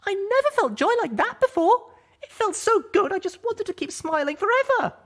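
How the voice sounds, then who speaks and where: Man as a very high-pitched joyful little filly. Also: sing-song, moderate pace, a bit bright, exaggerated voice. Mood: wondering joy. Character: joyful, wide-eyed little girl pony.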